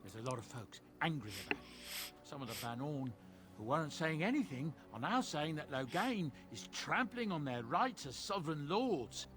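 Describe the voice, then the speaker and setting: An actor playing a wry, world-weary travelling merchant in a fantasy video game, passing on rumors in a measured, matter-of-fact way. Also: male voice, fairly high pitch, very animated, fairly bright tone. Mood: grave, resigned. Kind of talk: video game dialogue acting. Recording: game dialogue with music underneath. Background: game music.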